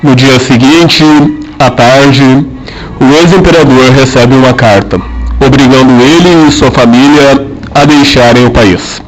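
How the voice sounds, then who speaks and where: A man narrating an amateur historical radio drama, telling it in a measured and solemn way. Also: medium pitch, faint music behind, low-quality recording.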